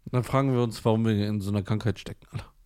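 A man's voice: fairly low pitch, fairly even intonation, very fast, dark tone, clean tone. A man co-hosting a casual podcast chat, reacting bluntly and with disgust, using slangy retorts. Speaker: podcast co-host.

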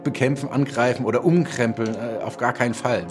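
An author talking casually about writing, thoughtful, with halting restarts. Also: male voice, mid-range voice, fairly even intonation, music in the background. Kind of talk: casual interview talk.

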